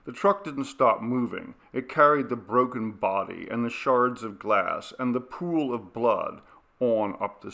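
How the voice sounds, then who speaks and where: A male audiobook narrator reads a dramatic horror story. His voice has a gravelly creak and a sharp, forceful edge. The phrasing is deliberate and suspenseful, at a middling pitch.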